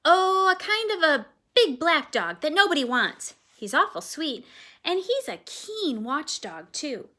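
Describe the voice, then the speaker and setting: A woman's voice: high-pitched, sing-song, unhurried, pitched above the performer's own voice, full character voice. A woman voicing an eager, cheerful young boy in a read-aloud storybook. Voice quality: bright and eager.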